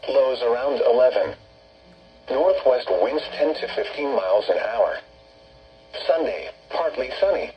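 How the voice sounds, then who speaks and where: A synthetic text-to-speech man's voice reading a scripted weather forecast over radio in a formal, segmented, flat manner. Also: mid-range voice, a little slow, slightly rough. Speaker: automated weather radio announcer. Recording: muffled, noisy weather radio broadcast with hum.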